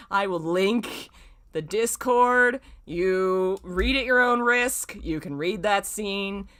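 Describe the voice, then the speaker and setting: Exclamatory woman streamer with a lower-pitched voice. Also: fairly bright tone. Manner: exclamatory, informal, self-mocking. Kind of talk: casual livestream commentary and reading aloud.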